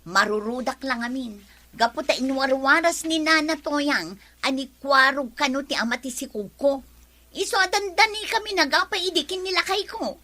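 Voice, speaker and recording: A woman's voice: high voice, moderate pace, pushed and bright. A woman playing an indignant, quarrelsome village woman in a comedy drama, with a Filipino accent and an exclamatory way of talking. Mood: apologetic. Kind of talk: Ilocano comedy radio-drama acting.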